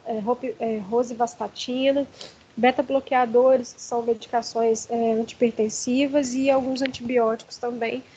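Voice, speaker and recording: A hoarse woman with a Brazilian accent, giving an online lecture with many fillers and restarts. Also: a little high, darkish tone, dull audio, slight background noise. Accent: Brazilian Portuguese. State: hoarse from allergy.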